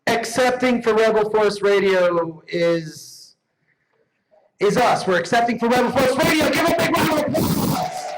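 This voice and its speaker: A man's voice: very high-pitched, lively intonation, a little slow, over music. A man presenting awards live on stage in a jokey, profane, emphatic comedic manner. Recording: noisy live event, clicks.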